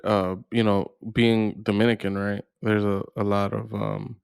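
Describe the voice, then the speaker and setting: A man hosting a podcast in casual discussion, halting as he thinks aloud. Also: fairly low pitch, little pitch movement, gravelly, clear, resonant tone, no echo, clean studio sound. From United States. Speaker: podcast host.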